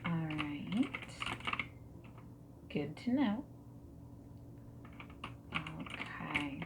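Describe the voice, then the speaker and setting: A woman speaking softly and breathily in an ASMR roleplay, often slipping into whispers as she asks gentle, reassuring questions like a friendly interviewer. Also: low-pitched, extremely slow. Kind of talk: soft-spoken ASMR roleplay. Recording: steady noise, keyboard typing and clicks. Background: mechanical keyboard typing and clicks.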